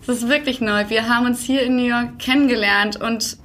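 A woman's voice: a little high, steady tone, fairly quick, fairly bright tone. A woman hosting a podcast, talking in a conversational interview style. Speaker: podcast host.

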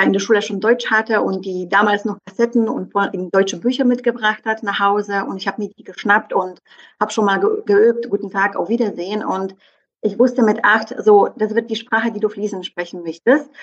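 A woman's voice: medium pitch, dull audio. A woman in her forties from southeast Poland, speaking as an interview guest, with frequent fillers and a habitual "genau". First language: Polish. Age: 40s. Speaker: interview guest, mother of two. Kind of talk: casual interview conversation.

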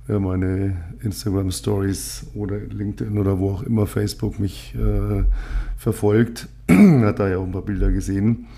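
A man's voice: fairly low pitch, moderate pace, vocal fry. A man, hosting a casual solo podcast and rambling with many fillers. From Munich, Germany. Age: 50s. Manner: rambling, frequent fillers, relaxed. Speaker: podcast host, agency owner.

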